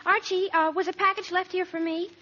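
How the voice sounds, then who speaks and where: A sweet teenage girl who turns indignant fast. Her extremely high, bright voice exclaims primly in 1940s radio comedy style, on a dull old recording. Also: fairly even intonation. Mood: sweet, eager. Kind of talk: 1940s radio sitcom acting.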